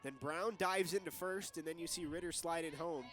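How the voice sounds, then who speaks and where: A young man doing TV play-by-play commentary of a softball game. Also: a little high, moderate pace. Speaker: play-by-play announcer.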